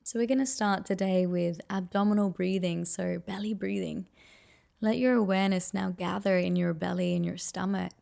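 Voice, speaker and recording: A woman leading a guided meditation with soft, soothing, unhurried and gently encouraging instruction. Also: on the low side, steady tone, vocal fry.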